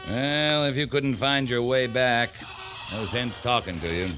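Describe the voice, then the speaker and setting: An actor playing a tough, steady cavalry captain in a 1950s radio western, terse and matter-of-fact. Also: male voice, medium pitch, unhurried, over music, lightly acted. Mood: calm, bargaining.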